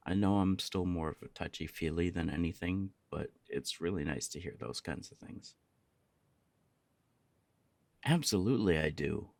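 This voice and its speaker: A man's voice: sits low, moderate pace, vocal fry, long pauses, a little mellow, no room sound. A young man playing an easygoing, affectionate punk roommate in an intimate ASMR roleplay, chatting casually and teasingly. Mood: fond. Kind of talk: intimate ASMR roleplay, one side of a conversation.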